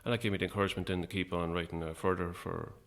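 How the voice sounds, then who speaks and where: A man's voice: deep voice, level tone, rapid-fire, vocal fry, fairly bright tone. A middle-aged Irish man chatting casually and modestly as an interview guest about his work. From Ireland. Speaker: guest, playwright and actor.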